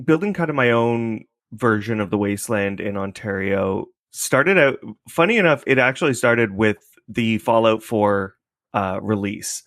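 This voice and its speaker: Laid-back man, lowish voice, dry sound. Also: a bit bright, dry recording. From Canada. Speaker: podcast creator, interview guest. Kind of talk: casual interview chat.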